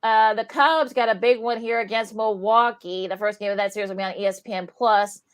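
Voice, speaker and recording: A woman's voice: mid-range voice, steady tone, brisk, smooth. A woman co-hosting a casual sports radio show, thinking aloud with many "you know"s and trailing hedges.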